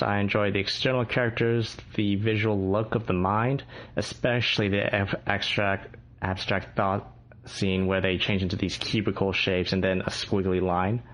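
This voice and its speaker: A man's voice: lowish voice, a bit bright. A man leaving a casual listener message with his opinions. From Atlanta, Georgia, United States. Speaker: listener calling in. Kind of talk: voicemail film opinion. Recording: dull lo-fi call recording.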